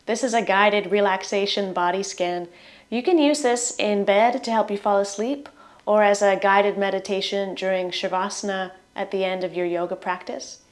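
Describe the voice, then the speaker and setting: A woman leading a guided relaxation in soothing, gentle short phrases that trail off softly at the ends. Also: medium pitch. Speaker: meditation guide.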